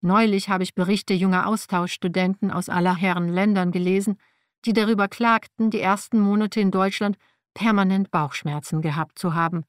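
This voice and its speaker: A woman's voice: mid-range voice, steady tone, normal speed, no echo, clean studio sound. A woman whose first language is Slavic reads a personal nonfiction text aloud in a wry, matter-of-fact way. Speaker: narrator.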